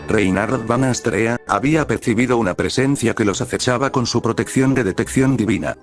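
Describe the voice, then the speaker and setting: A young man reading fanfiction aloud in a steady, even narrating style. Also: mid-range voice. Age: young adult. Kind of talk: audiobook-style story narration.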